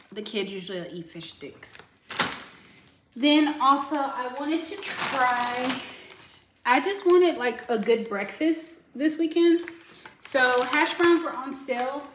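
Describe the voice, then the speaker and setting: Woman, 30s, chatty vlogger voice, slightly high, roomy kitchen sound with faint hiss. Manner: chatty, addresses viewers directly. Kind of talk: casual grocery haul vlog. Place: home kitchen, indoors. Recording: echoey kitchen with faint noise.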